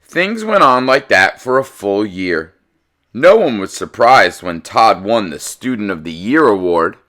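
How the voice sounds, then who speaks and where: Male read-aloud host, unhurried and theatrical, with lively swings in pitch, for children's picture books. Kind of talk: expressive children's picture book narration.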